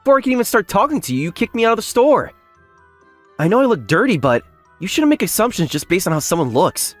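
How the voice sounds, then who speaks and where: A man playing an earnest young factory worker in a manga dub, polite but indignant when wronged, with an expressive, emphatic delivery. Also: high voice, quick pace, dry recording, faint music behind. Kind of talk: anime-style manga dub acting with first-person narration.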